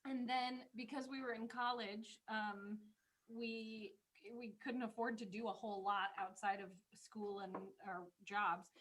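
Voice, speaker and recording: A woman co-hosting a casual podcast, reminiscing in an animated way and quick to laugh. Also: on the high side, rough recording. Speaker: podcast co-host. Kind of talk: casual chat, personal reminiscing.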